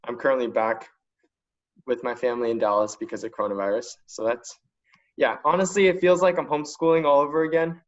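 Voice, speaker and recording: A man's voice: medium pitch, steady tone, a little fast. A young man from China giving a casual, enthusiastic talk as a student panelist, speaking informally. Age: young adult. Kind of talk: casual spoken testimonial. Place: online video conference call. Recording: dull lo-fi online call audio.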